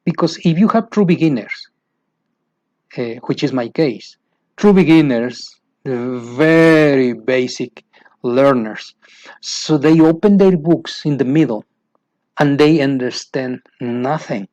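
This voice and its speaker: A man's voice: medium pitch, slow pace, darkish tone, dry recording, muffled recording. A man from Mexico with a Mexican accent, a Spanish speaker teaching other teachers about an English method, repeating points and often asking "you know why?". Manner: repetitive, asks "you know why?" with rising emphasis. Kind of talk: instructional talk to teachers.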